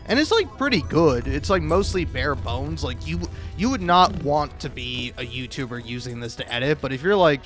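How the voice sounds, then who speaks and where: A young man telling casual, self-deprecating stories in an animated, rambling monologue, recorded on a modest home mic. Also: medium pitch. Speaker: video creator. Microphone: cheap mic, home recording. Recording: amateur mic with occasional plosive pops. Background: music.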